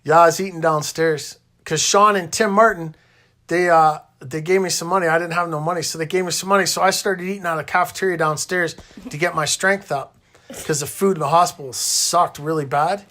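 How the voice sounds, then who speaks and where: A man's voice: fairly high pitch, fairly even intonation, brisk, sharp tone. Rambling Canadian man telling stories casually. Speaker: interviewer and host recounting own hospital stay. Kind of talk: casual conversational storytelling.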